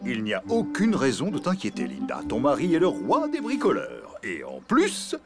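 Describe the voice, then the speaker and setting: A cheerful cartoon elephant father, reassures and brags like a proud handyman. His pitch swoops wildly from low to high in a theatrical delivery, with music playing behind him. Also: huge pitch swings, normal speed. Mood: boastful. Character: cheerful, boastful elephant dad, a clumsy handyman. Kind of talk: children's cartoon dialogue.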